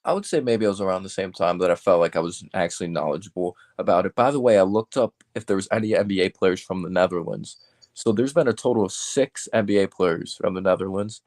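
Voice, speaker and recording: A man of about twenty from Georgia talks in a low-pitched voice with a dry, close sound. He chats casually about sports, correcting himself and leaning on "like" as he thinks aloud. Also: fast, a little mellow, no echo. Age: around 20.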